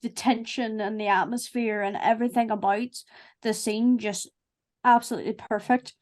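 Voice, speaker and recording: A woman chats casually. She is effusive, telling things in an animated way. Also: fairly high pitch, moderate pace. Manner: effusive, animated storytelling with acted-out asides. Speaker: voice actor and executive producer. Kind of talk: casual cast chat.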